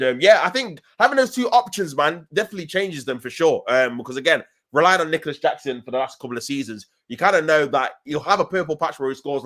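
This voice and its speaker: A man with a London accent hosting a football fan livestream, chatting casually and answering viewers in an animated, slangy, emphatic way. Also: mid-range voice. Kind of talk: casual live chat, reading and answering viewer comments.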